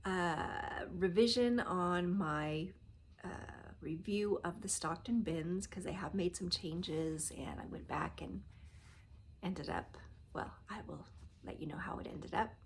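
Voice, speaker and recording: A woman's voice: lowish voice, takes it slowly, a little hiss or hum. Nasal woman with a cold, chatty vlog. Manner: chatty, many fillers, trails off softly at phrase ends. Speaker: reseller vlogger. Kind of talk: casual to-camera vlog. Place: living room at home. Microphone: phone or camera close at arm's length.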